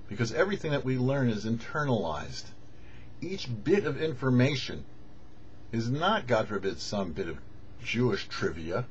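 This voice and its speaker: A man, a rabbi, giving scripted religious teaching with deliberate, emphatic phrasing and pauses. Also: mid-range voice, highs cut off, a little hiss or hum. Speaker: rabbi, host of a weekly Torah show.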